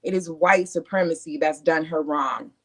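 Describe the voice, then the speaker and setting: A woman's voice: low voice, normal speed. A woman guest on a podcast, talking bluntly and emphatically in casual chat.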